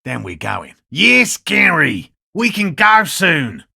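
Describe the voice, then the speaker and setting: A man voicing an earnest, eccentric cryptozoologist in a video game, with a raspy voice and precise, courteous, scholarly phrasing. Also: fairly high pitch, huge pitch swings, slow pace, sharp tone, no echo, studio quality, exaggerated voice. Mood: calling out loudly. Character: earnest eccentric male cryptozoologist scientist, obsessive but courteous. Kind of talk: video game dialogue voice lines.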